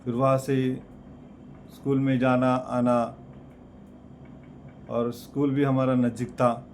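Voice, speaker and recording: A man praying and then telling his personal testimony, with a halting delivery that keeps repeating words of address to God. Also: medium pitch, completely flat delivery, darkish tone, a little hiss or hum. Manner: slow, halting delivery with many repeated "Lord" and "Father" fillers. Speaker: preacher giving a testimony.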